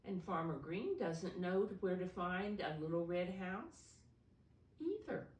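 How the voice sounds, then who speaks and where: A woman speaking as a preschool teacher at storytime, explaining things simply and deliberately to young children. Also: fairly low pitch, animated. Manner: simple, deliberate explaining, refers to herself in third person. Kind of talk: read-aloud storytime for preschoolers. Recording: roomy echo, distant microphone.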